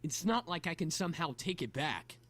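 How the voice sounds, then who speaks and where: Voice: mid-range voice, big pitch swings, fairly quick, fairly bright tone. Actor doing a brash impulsive bad boy, cocky n grudging, voice jumps all over, visual novel style.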